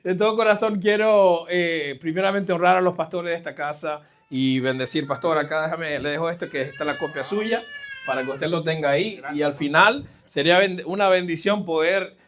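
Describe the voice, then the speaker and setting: A middle-aged man with a Caribbean Spanish accent giving a conversational, halting sermon as a guest pastor, addressing the congregation directly. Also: on the high side, a bit bright, slight background noise. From New Jersey, of Caribbean family. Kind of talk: live sermon, personal testimony. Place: church, speaking to a congregation.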